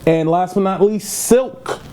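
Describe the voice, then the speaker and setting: A man's voice: high voice, takes it slowly, mellow, slight background noise. A man co-hosting a geek news podcast, talking casually and chattily.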